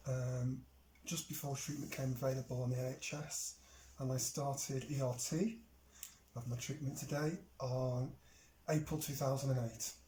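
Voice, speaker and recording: A man's voice: mid-range voice, dark tone. A man in his forties with an English accent, giving a personal account as a patient. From Shropshire, England. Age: 40s. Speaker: patient sharing experience. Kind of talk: personal testimony. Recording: echoey room with faint noise.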